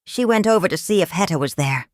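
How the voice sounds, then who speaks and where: A woman reading a children's picture book aloud in a calm, measured, expressive storytelling manner. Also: on the low side, no room sound, clean studio sound. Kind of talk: children's read-aloud narration.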